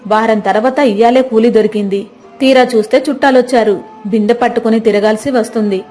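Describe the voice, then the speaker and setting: A woman's voice: fairly high pitch, fairly even intonation, fast talker, mellow, soft background music, close to an everyday voice. A woman voicing a burdened village woman complaining in colloquial speech. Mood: burdened complaint.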